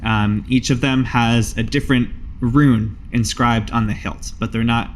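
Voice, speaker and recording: A man's voice: mid-range voice, steady tone, a little slow, slight background noise. A man narrating an improvised tabletop game as game master, with casual, colloquial phrasing.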